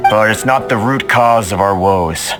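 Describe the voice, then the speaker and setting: A man playing a courteous, world-weary merchant in a video game, with measured speech. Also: fairly low pitch, natural voice. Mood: aggrieved. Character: weary, courteous male merchant, head of a trade association. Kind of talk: video game dialogue acting. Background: game music.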